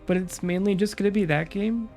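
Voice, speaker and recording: Young woman streamer w a stuffy nose, calm rambly chat, soft music behind. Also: medium pitch, a little fast. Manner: calm, relaxed, rambling with many fillers. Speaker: VTuber streamer.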